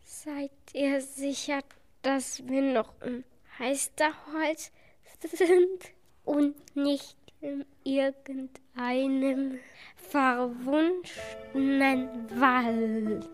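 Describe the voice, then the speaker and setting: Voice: sits high, steady tone, extremely slow, soft background music. A young performer playing a doubtful, weary child adventurer in a children's audio drama.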